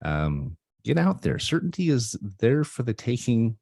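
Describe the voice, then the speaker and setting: A man's voice: medium pitch, sing-song, a little slow, gravelly, dark tone, no room sound, clean studio sound. A man hosting a talk radio interview over a video call, upbeat and affirming, often checking in with "right?". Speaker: radio show host.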